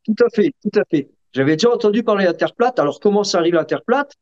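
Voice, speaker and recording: Muffled recording of a higher-pitched man explaining. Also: animated. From Sicilian family background. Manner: halting, checks in with the listener using tags. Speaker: interview guest. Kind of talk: casual explanatory chat. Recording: dull, muffled lo-fi sound.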